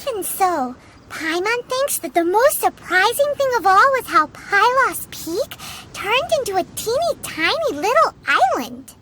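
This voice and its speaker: A woman voicing a tiny, childlike fairy companion in a video game, squeaky, cutesy and inquisitive. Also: big pitch swings, measured pace, bright, forceful tone, slight background noise, heavily acted, low-quality recording. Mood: amazed, chatty. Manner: refers to self in third person, cutesy.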